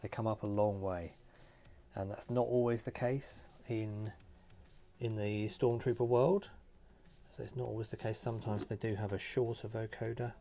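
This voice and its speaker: Low-voiced man giving a craft tutorial. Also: flat delivery, normal speed, dark tone. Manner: conversational, explanatory, thinking aloud as he works. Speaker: host of a hobby craft tutorial. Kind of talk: casual, unscripted how-to commentary while painting. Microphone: phone recording.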